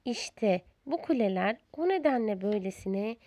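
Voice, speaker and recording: A woman narrating a children's storybook in a deliberate, expressive storyteller's manner. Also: medium pitch, dry recording.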